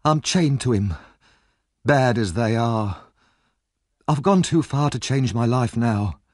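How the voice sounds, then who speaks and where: A man reading aloud and voicing a frightened, tearful young woman who pleads in a husky, lively voice. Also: medium pitch, slow, dry recording, studio quality, voice pushed up. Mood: despairing. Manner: breathless, fearful pleading.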